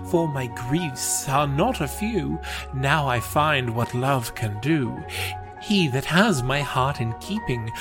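Slow, measured man reciting verse over music. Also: mid-range voice. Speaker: poetry reader. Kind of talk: dramatic poetry recitation. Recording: music under the voice.

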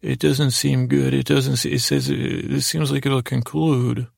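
A man with a heavily creaky, gravelly voice tells a rambling, improvised bedtime story. His pitch stays very flat and even while he wanders through friendly digressions, stopping and restarting. The sound is close and dry. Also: no room sound. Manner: meandering, halting, repeats and trails off; mild, friendly, absurdly digressive. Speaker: podcast host telling bedtime stories.

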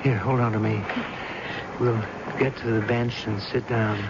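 Noisy, dull vintage radio drama audio with a man playing an urgent, protective secret lover. He speaks earnestly and coaxingly in a fairly middle-to-high male voice, in classic radio acting style. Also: steady tone, a little slow, close to an everyday voice. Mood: careful, reassuring.

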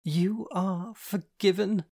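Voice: medium pitch, fairly even intonation, slow pace, warm tone, pitched above the performer's own voice. A reader doing a flamboyant camp young man. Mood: teasing. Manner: grand, theatrical, teasing. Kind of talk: audiobook dialogue.

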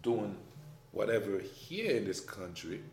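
A man with a French accent talking in a casual conversation, emphatic and animated, his voice rising when he makes a point. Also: on the low side, unhurried, gravelly, faint music behind. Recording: echoey room, faint background noise.

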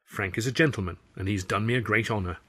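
A man's voice: on the low side, fast, pushed and bright. A man voicing a young woman performer in an audiobook, chatty and earnest, with a firm, guarded way of talking.

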